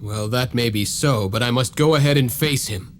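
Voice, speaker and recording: A man's voice: mid-range voice, normal speed, slight background noise, close to an everyday voice. An actor playing an earnest, determined nobleman turned vampire hunter in a video game, speaking directly. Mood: earnest, resolute. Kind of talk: video game cutscene voice acting.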